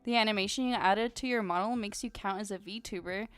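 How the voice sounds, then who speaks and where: A young woman chats with her stream audience in a bubbly, easygoing way, full of giggles and filler words. Her voice is smooth and clear, with medium pitch. It is recorded dry and close, with faint music playing underneath. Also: brisk, a bit bright, no echo. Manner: bubbly and chatty, many "like"s and giggles. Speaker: VTuber streamer. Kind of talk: casual live-stream chat with viewers.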